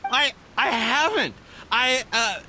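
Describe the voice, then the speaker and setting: A man's voice: extremely high pitch, expressive, takes it slowly, bright, forceful tone, slight background noise, full character voice. A man voicing a flustered, defensive merchant in a video game, stammering as he protests. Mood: panicked. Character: flustered, defensive male merchant under accusation. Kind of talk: video game character acting.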